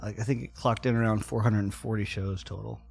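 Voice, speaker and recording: A man's voice: fairly low pitch, steady tone, fairly quick, vocal fry, a little mellow, slightly rough. A middle-aged man from Florida, a guest musician on an interview podcast, telling stories in a laid-back way, often dropping "man" and "like". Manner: laid-back and storytelling, says "man" and "like" a lot. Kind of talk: casual interview chat.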